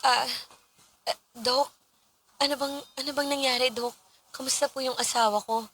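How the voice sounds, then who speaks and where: A woman's voice: high-pitched, fairly even intonation, moderate pace, fairly bright tone, soft background music, poor audio. A woman with a Filipino accent acting a torn, anxious young wife in a radio drama, hesitant and emotional.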